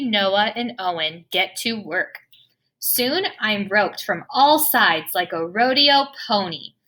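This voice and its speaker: Bright, animated woman reading a picture book. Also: medium pitch. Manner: expressive, playful sound words. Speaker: storybook reader. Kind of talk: children's picture book read-aloud.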